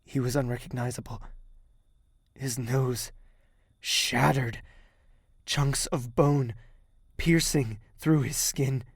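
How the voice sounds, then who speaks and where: A weary male hero in an audio drama. His voice is husky and dry, with a slow, heartfelt delivery that sounds haunted yet tender. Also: mid-range voice, steady tone, dry recording. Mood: grim, shaken. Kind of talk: first-person audio drama narration and dialogue.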